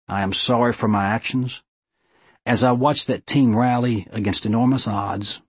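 A man voicing a dying, remorseful old football coach in an audiobook, speaking solemnly and deliberately. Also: fairly low pitch, husky, no room sound.

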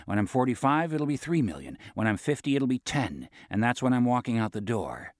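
A man's voice: medium pitch, sing-song, a little fast, no echo, clean studio sound, close to an everyday voice. A man voicing a brash, greedy male lawyer who needles and swears bluntly in an audiobook. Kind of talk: audiobook dialogue reading.

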